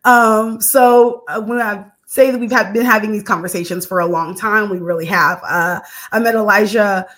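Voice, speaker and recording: A young woman from Texas hosting a podcast interview, earnest and measured, with frequent pauses and fillers. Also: mid-range voice, steady tone, a bit bright. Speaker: podcast host.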